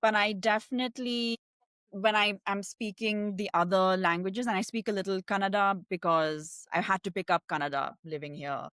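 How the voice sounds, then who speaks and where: A woman's voice: medium pitch, fairly even intonation, normal speed, fairly bright tone, smooth, dry recording, clean studio sound. A woman with an Indian English accent, a guest speaking directly and candidly, often saying "like". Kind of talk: conversational interview.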